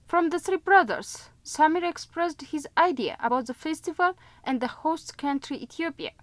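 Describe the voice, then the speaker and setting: High-voiced woman reading news narration. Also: animated, dry recording. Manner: measured, formal. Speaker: news reporter.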